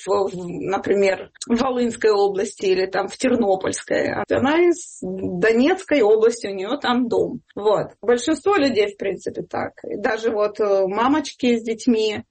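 A woman charity volunteer giving spoken interview answers, telling anecdotes and acting out what people said, in a husky voice. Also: medium pitch, moderate pace, darkish tone.

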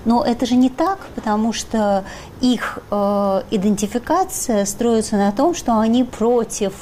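Woman studio guest, assertive and argumentative, mellow tone, faint room hum. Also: a little high, unhurried. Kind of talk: panel discussion.

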